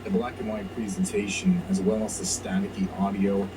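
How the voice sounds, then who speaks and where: Male narrator on the low side, scripted countdown read, noisy far-off playback. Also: little pitch movement, brisk. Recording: distant, noisy playback of a video.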